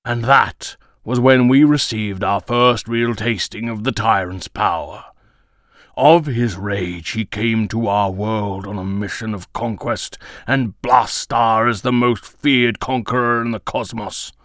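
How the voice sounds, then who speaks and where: A man voicing a solemn old alien storyteller in a comic dub, grave and measured, telling legends with dramatic pauses. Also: fairly low pitch, fairly even intonation, fairly bright tone, no echo, studio quality. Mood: grave, mournful.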